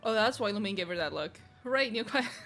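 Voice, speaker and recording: A woman's voice: medium pitch, animated, quick pace, a bit bright. A woman streaming a game, giving casual live reactions in informal, offhand asides. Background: video game music.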